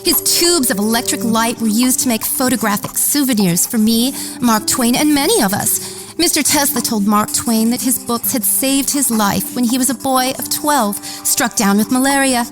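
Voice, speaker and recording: An actress playing a gushing, flirtatious society wife in a radio theater drama, genteel, theatrical and full of wonder. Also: female voice, on the high side, normal speed, lightly acted. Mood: admiring. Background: music.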